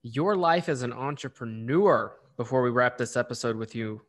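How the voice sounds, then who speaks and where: A man's voice: mid-range voice, moderate pace. A man in his late twenties hosting a podcast, friendly and reflective in a casual way. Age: 20s. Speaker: podcast host. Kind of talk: conversational interview.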